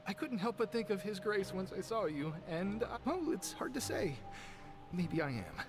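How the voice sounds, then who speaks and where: A man's voice: sits high, very animated, normal speed. An actor playing a timid, indecisive young man in a video game, nervous, stammering and apologetic. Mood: nervous, flustered. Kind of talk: video game character acting. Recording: game music behind voice.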